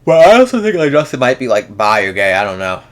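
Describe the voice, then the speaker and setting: A man's voice: mid-range voice, big pitch swings, fast talker. Young man rambling in a reaction video. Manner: rambling, frank, swears freely, many restarts. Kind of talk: casual reaction commentary.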